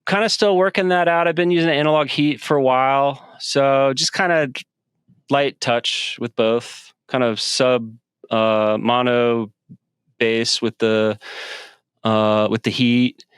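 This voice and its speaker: Smooth-voiced man, halting and casual. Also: medium pitch, steady tone, dry recording, clean studio sound. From United States. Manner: halting, many fillers and restarts. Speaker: guest musician. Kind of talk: casual interview talk. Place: home studio with synth rack.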